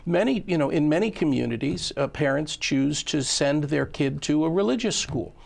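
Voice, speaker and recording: A talk-show host with a strongly gravelly, fry-heavy voice pitched a bit high for a man. He explains at length in casual studio chat and often stumbles and restarts his words. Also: animated, moderate pace. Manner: stammering restarts, repeats words, talkative explainer.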